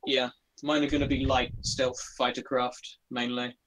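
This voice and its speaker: A man's voice: medium pitch, little pitch movement, unhurried, fairly bright tone, poor audio. Casual man with faint background noise. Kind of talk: casual chat.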